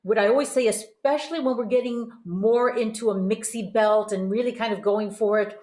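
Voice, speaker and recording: A woman's voice: on the high side, brisk, darkish tone. A woman, middle-aged or older, teaching voice in a conversational, encouraging and explanatory way. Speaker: host and voice teacher. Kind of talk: conversational vocal coaching. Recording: roomy, echoing sound.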